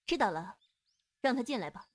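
A woman's voice: fairly high pitch, lively intonation, brisk. Actress as an imperious, lilting female sect leader. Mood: curt, haughty. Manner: haughty, blunt, commanding. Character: imperious, teasing female martial sect leader, proud, secretly tender. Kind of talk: Chinese wuxia audio drama acting.

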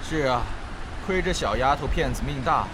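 A man's voice: medium pitch, animated, moderate pace, noisy background, lightly acted. A man playing a world-weary villager in an amateur radio drama, blunt yet pitying. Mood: resigned pity.

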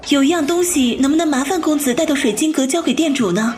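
A woman playing a gentle, mysterious young wife at a food stall in a Chinese audio drama, soft-spoken and courteous. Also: high-pitched, quick pace, a faint crowd, lightly acted. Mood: courteous, pleading.

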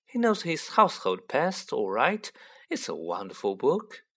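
A narrator voicing a scolding, bustling middle-aged mother witch in an audiobook, fussy but fond, with sharp exclamations. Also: mid-range voice, huge pitch swings, unhurried, husky, exaggerated voice. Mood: admiring.

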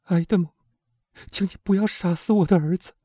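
Man voicing a pleading noblewoman in falsetto. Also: normal speed, warm tone, no room sound, studio quality, lightly acted. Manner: high, strained, imploring falsetto. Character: desperate middle-aged noble mother, pleading.

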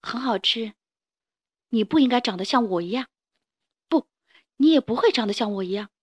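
A woman voicing a big male tyrannosaurus, gruff but tender, speaking softly and firmly. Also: on the high side, expressive, normal speed. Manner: soft, gruff.

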